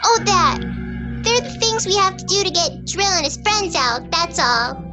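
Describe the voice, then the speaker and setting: A woman's voice: very high voice, animated, unhurried, over music. A woman acting a stubborn, secretive young girl absorbed in her games in an amateur audio drama. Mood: breezy, evasive.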